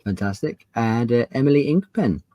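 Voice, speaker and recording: A man's voice: medium pitch, lively intonation, moderate pace, warm tone, no room sound. A man hosting an online panel as game master, introducing it in a casual style.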